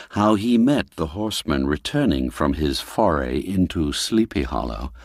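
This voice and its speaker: A man narrating a classic ghost tale in a theatrical, expressive storytelling manner, dwelling on the darker words. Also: low-pitched, no room sound, studio quality.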